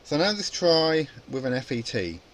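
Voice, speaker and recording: A man's voice: on the high side, sing-song, normal speed. A man presenting an informal hands-on electronics tutorial, explaining casually and thinking aloud as he goes. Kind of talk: informal spoken tutorial demonstration.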